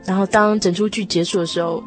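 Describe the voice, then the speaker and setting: A woman's voice: medium pitch, fairly even intonation, fast talker, soft background music. A woman speaking as a guest on a radio show, sharing personal impressions in casual chat.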